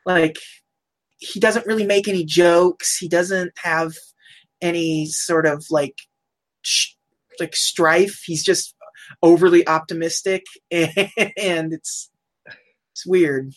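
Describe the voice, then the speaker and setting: A woman co-hosting a casual chat about old cartoons, chatty and quick to laugh, looking things up as she talks. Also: fairly low pitch, fairly even intonation. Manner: chatty, laughs often, looks things up aloud. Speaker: podcast co-host.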